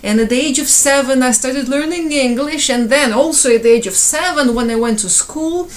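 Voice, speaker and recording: A woman's voice: high voice, normal speed. A middle-aged Ukrainian woman, a mother speaking earnestly at home and asking to be believed. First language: Russian. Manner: earnest, persuasive, repeats "okay?" and "believe me". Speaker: mother and housewife. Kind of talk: casual monologue to camera. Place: home, at night.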